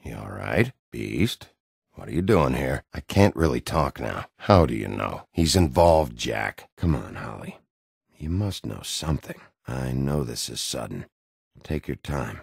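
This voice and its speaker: An actor playing a gruff, hot-tempered werewolf detective in a video game, a middle-aged man with a gravelly, husky, blunt, intimidating delivery. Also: rumbling low, sing-song, unhurried, dry recording, clean studio sound, full character voice. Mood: guarded, questioning. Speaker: interrogating lawman.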